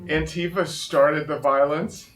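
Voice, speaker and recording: A man's voice: on the high side, fairly even intonation, measured pace, fairly bright tone, faint music behind. A man chatting casually and wryly as a commentator, paraphrasing other people mockingly. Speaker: interviewer and commentator. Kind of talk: casual reaction chat.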